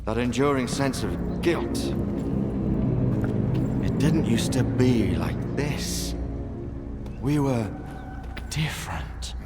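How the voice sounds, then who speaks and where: A man playing a fanatical cult preacher in a video game, brooding and bitter, with a slow, sermon-like theatrical delivery. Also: mid-range voice, lively intonation, loud music behind the voice. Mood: bitter, mournful. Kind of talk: dramatic video game cutscene acting.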